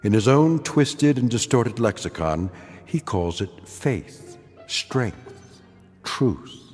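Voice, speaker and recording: A man acting as a grave, ominous narrator of an eerie radio drama, speaking with deliberate weight. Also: mid-range voice, lively intonation, darkish tone, in a large hall. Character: grave omniscient male narrator of an eerie anthology. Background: music.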